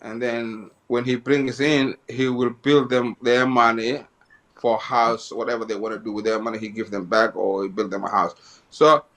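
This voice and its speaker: A man from Somalia, a guest in an interview telling his life story, often halting and repeating phrases as he goes. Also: medium pitch, flat delivery, fairly bright tone.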